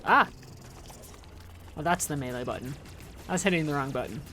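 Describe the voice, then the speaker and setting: A woman's voice: low voice, fast talker, a little hiss or hum. A woman doing casual livestream game commentary, chatting informally with viewers and rambling into playful asides. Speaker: livestream host playing a video game.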